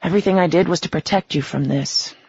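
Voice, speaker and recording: A woman's voice: on the low side, fast, darkish tone, muffled recording, a little hiss or hum, close to an everyday voice. A woman reading an audiobook, voicing a young male fallen angel who is protective and speaks with earnest tenderness. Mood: tender.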